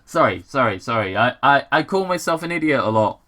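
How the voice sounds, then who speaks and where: An excitable host reads fan fiction aloud with a bright, forceful voice and very lively intonation. The delivery is casual and halting, stumbling and wandering into self-deprecating asides. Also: medium pitch. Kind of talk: casual dramatic reading with rambling commentary.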